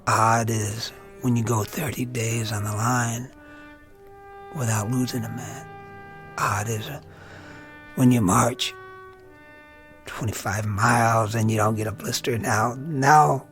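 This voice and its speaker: An actor playing a tormented, bitter young army lieutenant in an intense radio drama style. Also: male voice, mid-range voice, slow, pauses often, a bit bright. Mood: bitter, weary. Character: tormented young army lieutenant who sees death on faces. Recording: radio drama with music underneath.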